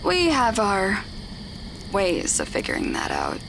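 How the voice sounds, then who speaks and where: A woman playing an authoritative, secretive, dry-humored leader of magical girls in an audio drama, commanding and deadpan. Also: mid-range voice, slow, gravelly, background noise, heavily acted. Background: music.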